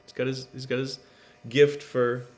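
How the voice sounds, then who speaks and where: A man reading a storybook aloud to children, expressive, with chatty asides and questions to the listeners. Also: medium pitch, unhurried. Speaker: storybook reader.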